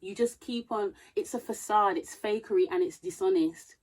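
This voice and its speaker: A woman's voice: mid-range voice, sing-song, normal speed, darkish tone. A woman in her thirties giving earnest, preachy advice to camera. She checks her notes now and then and grows emphatic as she talks. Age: 30s. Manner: reading from notes. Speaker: vlogger. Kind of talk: casual advice talk to camera.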